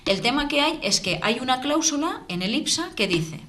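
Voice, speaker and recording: A woman's voice: high-pitched, animated, brisk, a bit bright. A woman with a Valencian accent, a town councillor speaking earnestly and with indignation in a local TV debate. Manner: earnest, indignant, keeps explaining.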